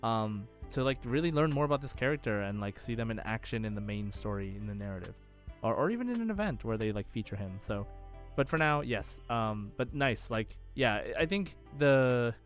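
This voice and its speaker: A man hosts a quiz podcast in a relaxed, chatty style. His voice sits at a medium pitch. He rambles and hesitates as he goes, with soft music underneath. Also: a little fast. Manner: hesitant, rambling, heavy use of "like". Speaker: podcast host, quizmaster. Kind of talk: casual chat.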